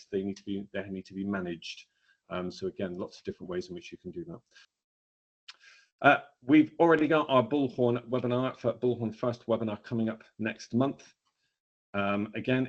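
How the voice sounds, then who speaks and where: Low-voiced man presenting, slightly dull audio. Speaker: training company presenter hosting a webinar. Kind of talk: informal business webinar presentation. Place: online webinar. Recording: dull, lo-fi online webinar audio.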